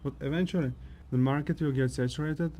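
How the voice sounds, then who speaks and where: A man's voice: a little high, steady tone, brisk, dark tone, a little hiss or hum, natural voice. A man playing a brooding, sardonic chimera wanderer in a fantasy audio drama, grumbling his way through monologues. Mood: grumbling, fed up.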